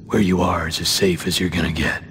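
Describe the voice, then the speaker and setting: A man playing a brooding masked vigilante in his thirties, terse and intimidating, with a hushed gravelly voice in a video game. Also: low-pitched, flat delivery, brisk, lightly acted. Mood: grim, controlled. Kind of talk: video game cutscene acting.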